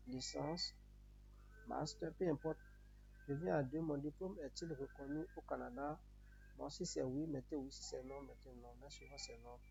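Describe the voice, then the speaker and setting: Beninese man with a creaky, mellow voice. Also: fairly high pitch. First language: Yoruba. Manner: repetitive, instructional phrasing. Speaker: tutorial presenter. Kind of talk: step-by-step spoken tutorial. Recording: muffled audio with noticeable background hum.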